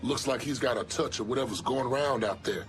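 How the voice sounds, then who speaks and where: A man's voice: medium pitch, brisk, faint music behind, natural voice. An actor playing a disgruntled working man in a video game, grumbling bitterly about his boss. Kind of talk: video game cutscene acting.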